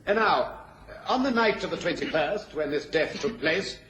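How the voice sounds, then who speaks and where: A pompous defence barrister in a radio play. He declaims in a high-pitched voice, and the sound rings with room echo. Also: animated, moderate pace, a bit bright. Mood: brisk questioning. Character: pompous, theatrical defence barrister addressing a court. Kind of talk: radio drama courtroom oratory. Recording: echoey courtroom sound, radio drama.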